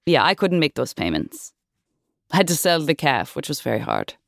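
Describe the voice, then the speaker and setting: A woman speaking with a patchy, put-on Dutch-sounding accent, answering interview questions in an improvised comedy with halting, sheepish restarts. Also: sits low, big pitch swings, vocal fry, no echo, studio quality. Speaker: interviewee in a mock documentary.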